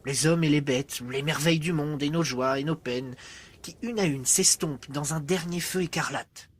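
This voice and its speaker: A man performing a poetic monologue as a storyteller, theatrical and moving between hushed and intense delivery. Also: a little high, normal speed. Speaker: writer and storyteller.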